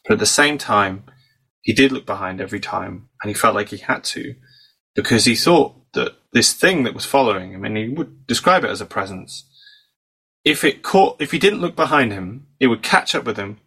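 A man's voice: fairly low pitch, animated, moderate pace, pushed and bright, husky, poor audio. A man from the United Kingdom, a psychology professor and author, telling stories fluently as a guest in an interview, slightly losing his voice from a cold. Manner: fluent storytelling, explanatory. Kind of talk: conversational podcast interview.

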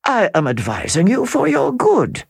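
Give me a soft-spoken, scheming count with a lively, swooping pitch, put on by an audiobook reader. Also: a little slow, dry recording, studio quality, full character voice. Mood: contemptuous. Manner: smooth, condescending. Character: suave scheming middle-aged male count.

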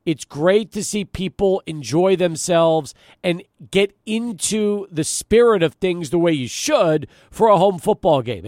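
A man's voice: high-pitched, dry recording, clean studio sound. A middle-aged man hosting a sports talk radio show, conversational and emphatic, punctuating his points. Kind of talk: sports talk radio, chatting and prompting callers. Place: radio studio.

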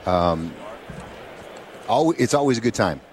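A man's voice: on the low side, animated, a little slow, slight background noise. A man co-hosting a sports radio show, friendly and casual, often restarting his sentences, at an event broadcast booth. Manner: stumbling restarts, friendly, reads sponsor lists.

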